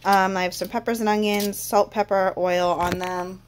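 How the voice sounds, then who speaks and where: A woman talks through her home cooking in a relaxed, chatty way, often pausing on an um. Her voice is a little congested and sits at an ordinary middle pitch. Faint kitchen noise runs behind her. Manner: relaxed, chatty, frequent ums. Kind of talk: casual kitchen vlog narration.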